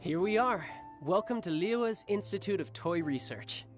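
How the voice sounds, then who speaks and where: Brief: a cocky, easygoing young warrior, teasing and smooth, with a lively, lilting read. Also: male voice, high voice, normal speed, faint music behind. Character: cocky young male warrior, doting older brother, playful. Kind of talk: game cutscene voice acting.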